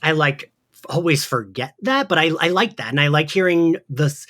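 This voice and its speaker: A man's voice: fairly high pitch, expressive, normal speed, a bit bright. A male podcast host talks through a pop-culture recap in a casual way. He rambles chattily from point to point, dropping slang and the odd swear word into his sentences.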